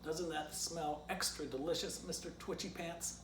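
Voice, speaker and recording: A man's voice: fairly high pitch, fairly even intonation, normal speed, voice pushed up, natural voice. Crafty male dog leader for a storybook, read by a man, declamatory, persuasive; echoey, off-mic recording. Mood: slyly defiant. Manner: declamatory, slyly insistent. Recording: roomy, distant mic, faint background noise.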